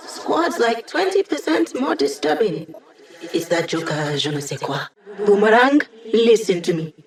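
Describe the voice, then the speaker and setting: A woman's voice: medium pitch, close to an everyday voice. A woman voice actor playing an upbeat, wisecracking young woman hacker in a video game, casual, playful and teasing. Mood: urgent.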